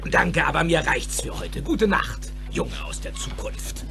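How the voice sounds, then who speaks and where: A male actor playing an eccentric, excitable inventor, gruff and manic, theatrical and exclamatory, in a German radio play. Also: fairly high pitch, unhurried, loud music behind the voice, full character voice. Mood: sceptical, mocking.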